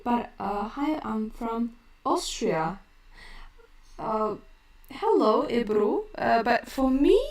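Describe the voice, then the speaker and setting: A woman with a Kazakh accent teaching her language in a live stream, speaking slowly with many hesitant fillers while she reads chat questions. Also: on the high side, roomy sound, far from the mic.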